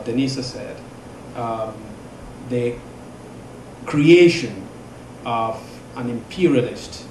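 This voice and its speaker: A man with a Nigerian accent speaks slowly and deliberately, pausing on frequent fillers as he lectures. The sound is roomy and reverberant, with clear background noise under him. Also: mid-range voice. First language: Igbo. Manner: measured, halting, many fillers. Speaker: guest speaker. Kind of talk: public lecture. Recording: echoey room with noise.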